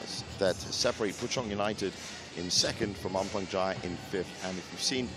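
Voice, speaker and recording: A man giving live match commentary in an explanatory, measured way, his voice a little hoarse. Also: low voice, music in the background. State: slightly hoarse, recovering voice. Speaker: sports commentator.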